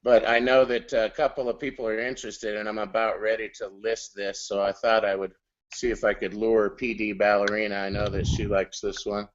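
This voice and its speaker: Steady-talking man on a lo-fi call. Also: on the low side, a little fast. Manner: explains steadily, moderates the call. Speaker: hangout regular, coin seller. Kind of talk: casual group chat. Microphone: video call. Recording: dull lo-fi online call audio.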